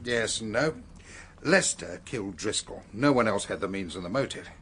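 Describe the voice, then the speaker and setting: An actor in a radio drama playing a deliberate, sceptical detective who reasons and questions. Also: male voice, on the low side, animated, fairly bright tone, slight background noise. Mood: assured. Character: deliberate, probing male detective reasoning out a murder.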